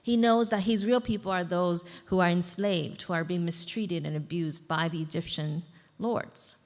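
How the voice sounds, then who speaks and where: A woman's voice: fairly low pitch, steady tone, moderate pace, darkish tone, big-room sound. A woman in her thirties, from the United States, preaching a sermon as pastor in a conversational way, with fillers and asides to the congregation. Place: church hall.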